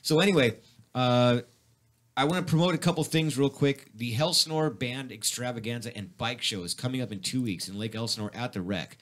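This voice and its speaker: A man's voice: medium pitch, a little fast, fairly bright tone. A middle-aged man of Spanish first language hosting a radio show, rambling and swearing freely with lots of restarts. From Mexican heritage, grew up speaking Spanish. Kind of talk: casual profane radio chat. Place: radio studio.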